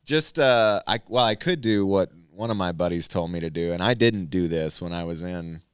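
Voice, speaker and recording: Low, smooth-voiced laid-back comedian man. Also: moderate pace, no echo, clean studio sound. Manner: loose and rambling, many fillers and restarts. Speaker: stand-up comedian guest; military veteran. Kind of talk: casual podcast conversation.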